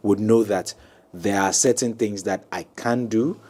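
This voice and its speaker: Low male guest consultant; halting, filler-heavy speech that turns emphatic. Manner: hesitant, many ums, grows animated and emphatic. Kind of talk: panel talk show discussion.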